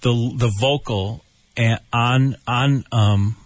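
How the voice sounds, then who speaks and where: A male musician, a guest on a radio show, who explains things haltingly, restarting and searching for words. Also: medium pitch, dry recording.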